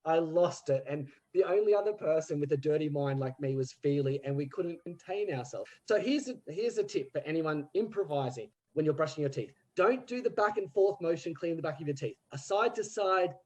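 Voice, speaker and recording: A man in casual podcast chat about theatre, telling stories in an animated way and laughing often. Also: a little high, a little fast, few pauses. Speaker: theatre group member.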